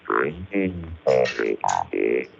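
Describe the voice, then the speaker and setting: A man's voice: rumbling low, sing-song, slow pace, creaky, breathy edge, dull audio, soft background music, full character voice. An early speech synthesizer voicing a male talking machine with a buzzy, robotic sound and stiff, stretched-out words, on a 1940s film soundtrack. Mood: deep, mechanical.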